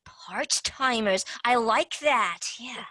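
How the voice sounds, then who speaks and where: A woman's voice: a little high, slow, bright, forceful tone, no echo, clean studio sound. A woman playing a brash, scheming female tooth fairy agent in a stage play. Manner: brash, wheeling-dealing.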